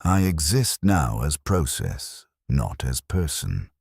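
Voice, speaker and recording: A war-numbed veteran heavy gunner played by a man tells his story in a rock-bottom, raspy voice. The delivery is grim and resigned, yet the pitch moves expressively through the deliberate dramatic narration. The sound is close and dry. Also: sing-song, darkish tone, dry recording, full character voice. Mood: cold, fatalistic. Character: war-numbed veteran male heavy gunner, scarred, fatalistic, dystopian sci-fi soldier. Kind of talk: scripted first-person dramatic narration.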